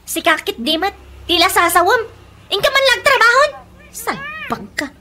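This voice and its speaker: An actress playing a scolding, anxious wife in an Ilocano radio comedy drama. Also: female voice, very high-pitched, very animated, normal speed, sharp tone, a little hiss or hum, full character voice. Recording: radio drama with prominent music behind.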